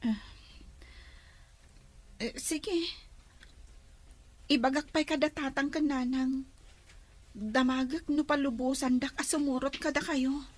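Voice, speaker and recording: A woman's voice: high voice, fairly even intonation, takes it slowly, long pauses. A woman playing a timid, anxious, dutiful young daughter in a radio drama. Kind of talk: Ilocano radio drama acting.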